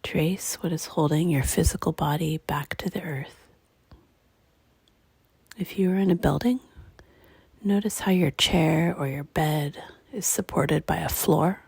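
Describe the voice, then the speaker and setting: A woman leading a guided meditation in a hushed, breathy, gentle and unhurried way, leaving long pauses between phrases. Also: sits low, dry recording. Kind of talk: guided meditation, slow and soothing.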